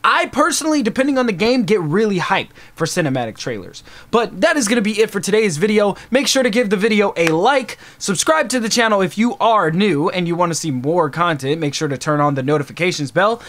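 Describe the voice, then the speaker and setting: A man in his twenties giving an excitable, slangy live reaction as a video host, recorded indoors at home. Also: high-pitched, fairly quick, few pauses. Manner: animated, exclamatory, slangy. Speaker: YouTube host reacting to a game trailer. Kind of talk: casual live reaction vlog. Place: small furnished room at home, indoors.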